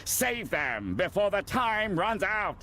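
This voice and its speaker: An actor playing a pompous, impatient, bossy film director villain in an animated video game. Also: male voice, high voice, slow pace, background noise, heavily acted. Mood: impatient, grudging. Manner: bossy, self-important, sarcastic.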